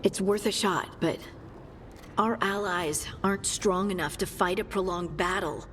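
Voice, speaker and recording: Female game scout, acted: mid pitch, sober, candid, practical, long reverberant tail. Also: unhurried, a bit bright, slight background noise, natural voice. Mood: sober, resolved. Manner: plain-spoken, practical. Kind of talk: cinematic video game voice acting.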